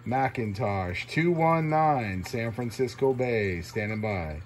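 A man's voice: medium pitch, lively intonation, takes it slowly. A man working as a CB radio operator, making repetitive call-outs in short clipped phrases of call signs. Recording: noisy, radio static hiss.

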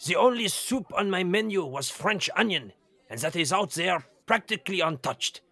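A man's voice: sits high, expressive, moderate pace, gravelly, bright, forceful tone, full character voice. An actor playing a pompous, indignant male head chef in a children's audio drama. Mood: stern, indignant. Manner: indignant, imperious.

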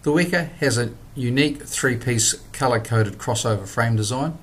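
A man, presenting a product in a measured, scripted voice-over. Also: medium pitch, breathy edge. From New Zealand. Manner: measured, plain instructional delivery.

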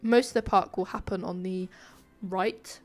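A woman narrates a gaming video in a casual, self-effacing way. Her mid-pitched voice is nasal and a little flat, as she is ill with a sore throat. She thinks aloud informally as she goes. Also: a little slow, faint music behind. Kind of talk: casual speed-build commentary, chatty voice-over.

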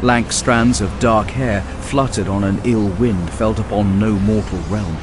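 A man narrating a fantasy audiobook in a measured, grave, dramatic storytelling style. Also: fairly low pitch, fairly even intonation, noisy background. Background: music.

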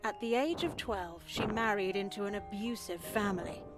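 A woman voicing a stern, pious elderly nun in a visual novel game, measured, formal and sanctimonious. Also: medium pitch, over music, lightly acted. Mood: solemn.

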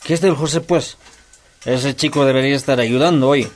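A man's voice: medium pitch, steady tone, normal speed, natural voice. A man playing a grumbling, sluggish husband in a radio soap.

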